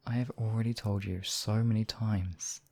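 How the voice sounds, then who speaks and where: A man playing a demon king in an intimate ASMR roleplay, husky and theatrical, with deliberate phrasing and lingering pauses. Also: fairly low pitch, fairly even intonation, mellow. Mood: warm, reassuring. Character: demon king, menacing captor act turning tender, devoted lover.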